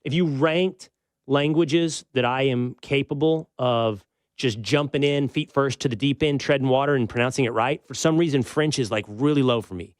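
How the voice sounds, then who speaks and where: A man with an American accent hosting a talk show, giving conversational, emphatic political commentary with pauses for effect. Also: medium pitch, no echo. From United States.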